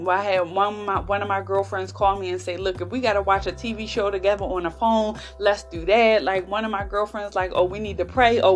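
An American woman speaks as a solo podcast host over background music. Her talk is casual and heartfelt, with a medium pitch. She is earnest and encouraging, leaning on repeated phrases to stress a point.

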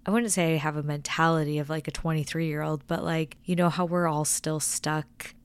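A woman's voice: deep voice, fairly even intonation, moderate pace, clear, resonant tone, no echo. A woman in her twenties hosting a casual solo podcast, chatty and rambling with lots of filler words. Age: 20s. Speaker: podcast host.

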